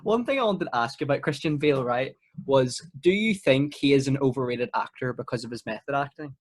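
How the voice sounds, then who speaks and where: A teenage guy co-hosting a laid-back film podcast in a mid-pitched voice. He is agreeable and matey, quick to be amused, and talks in a loose, casual chat style. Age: teens. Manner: agreeable, matey, breaks easily into laughter.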